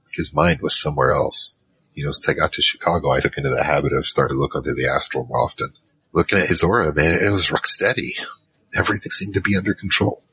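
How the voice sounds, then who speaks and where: A man's voice: a bass voice, quick pace, crowd noise, low-quality recording. A man playing an easygoing, nerdy troll mage who narrates his own story in a casual, rambling, slangy way for an audio drama. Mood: wry reminiscing. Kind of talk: conversational first-person drama narration.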